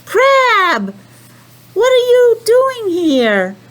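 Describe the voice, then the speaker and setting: Woman putting on a very high, cheerful penguin. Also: huge pitch swings, drawn-out words, a bit bright, exaggerated voice. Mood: surprised. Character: cheerful, surprised, welcoming penguins in a picture book.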